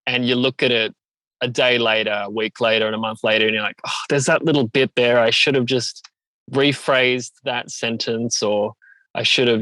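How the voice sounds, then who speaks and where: A man's voice: mid-range voice, bright, forceful tone, dry recording, rough recording. A man speaking casually as a singer-songwriter guest in an interview, often acting out remarks as he retells them. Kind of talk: casual chat.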